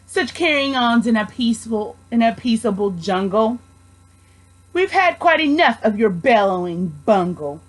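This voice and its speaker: A woman's voice: on the high side, expressive, unhurried, low-quality recording. A woman voicing a big, bossy kangaroo in a storybook, scolding and snappish with disdain.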